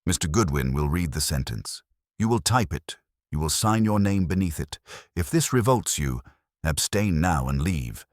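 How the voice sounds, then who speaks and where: A man voicing an imperious, precise private detective in a pompous, measured, formal way for a mystery story. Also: sits low, expressive, no room sound, clean studio sound. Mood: stern, formal.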